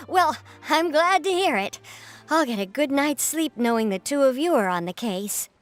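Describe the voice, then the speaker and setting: A woman playing an earnest, superstitious, excitable association representative in an anime-style video game, with a dramatic storytelling manner. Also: sits high, measured pace, faint music behind. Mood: relieved, earnest.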